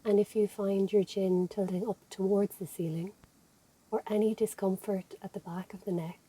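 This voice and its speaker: A woman with an Irish accent leading a guided meditation as an instructor, speaking in a gentle, soothing, unhurried manner. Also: mid-range voice, husky, low-quality recording. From Ireland. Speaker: physiotherapist and yoga instructor leading relaxation.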